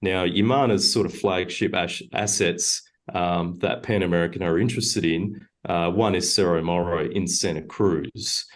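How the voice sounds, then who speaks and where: A very low-pitched man speaks as a mining company director in an interview. He answers in a measured, halting way, often pausing on fillers and correcting himself mid-sentence.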